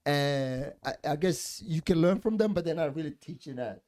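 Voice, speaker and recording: A man's voice: a little high, expressive, normal speed, darkish tone. A man in his late twenties, from Sweden, talking casually and jokingly on a podcast, with many fillers. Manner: laid-back, joking, lots of fillers. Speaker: podcast guest, comedian.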